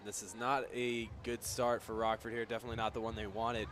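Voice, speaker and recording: A man providing conversational color commentary on a radio baseball broadcast, explaining things in a measured way. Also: on the low side, little pitch movement, a bit bright. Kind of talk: conversational sports analysis on radio. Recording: faint outdoor ambience and traffic-like noise.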